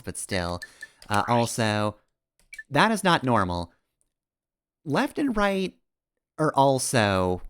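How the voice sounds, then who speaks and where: A man's voice: fairly low pitch, fairly bright tone, smooth. A man streaming a video game with casual, rambling commentary, analytical and wry. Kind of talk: casual live gameplay commentary. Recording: game music behind the voice. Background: video game music.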